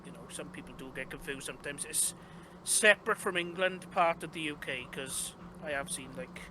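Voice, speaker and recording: Young Welsh guy, slightly higher voice, loose rambling guest chat over a noisy background. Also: moderate pace. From Wales. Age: young adult. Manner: rambling, many fillers and restarts. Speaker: guest game developer. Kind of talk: casual interview chat.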